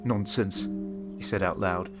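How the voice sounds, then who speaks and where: Low-pitched male horror story narrator. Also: fairly even intonation, measured pace. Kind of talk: scripted third-person horror story narration. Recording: music under the voice. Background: music.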